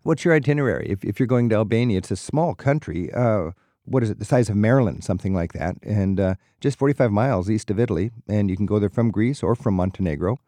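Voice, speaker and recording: A man's voice: on the low side, fast talker, few pauses, mellow, no room sound. A man hosting a radio travel call-in show, chatty and leading his guests with questions.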